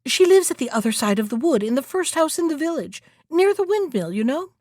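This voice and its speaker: A woman's voice: sits high, big pitch swings, a little fast, dry recording, studio quality, pitched above the performer's own voice. A woman playing an innocent, trusting, cheerful little girl in a storybook tale. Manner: bright-eyed, naive, exclamatory.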